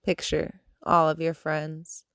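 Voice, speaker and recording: A woman guiding a meditation as a yoga teacher, speaking in a calm, soothing manner with gentle instructions and long pauses. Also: low voice, clear, resonant tone, dry recording, studio quality. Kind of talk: guided meditation.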